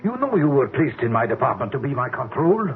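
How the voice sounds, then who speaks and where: An actor playing an anxious scientist who is secretly in love, in a 1970s radio drama. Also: male voice, mid-range voice, sing-song, fairly quick. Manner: wavering, doubtful, pleading. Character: anxious middle-aged male scientist, a secret lover. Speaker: scientist character. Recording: narrow, phone-like old radio broadcast.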